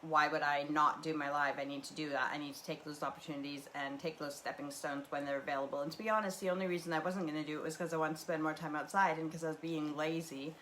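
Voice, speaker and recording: A woman's voice: low-pitched, level tone, fast, hardly any pauses, a bit bright, slight background noise. A woman from the prairies, hosting a casual rambling livestream from home and chatting in a meandering way, full of fillers and restarts. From Canadian prairies. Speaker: livestream host.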